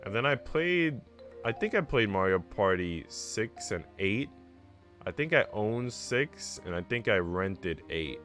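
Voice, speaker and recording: A man's voice: on the low side, sing-song, unhurried, fairly bright tone, faint music behind. A man reading a visual novel aloud and chatting casually about games as a streamer. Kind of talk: casual read-aloud and game chat.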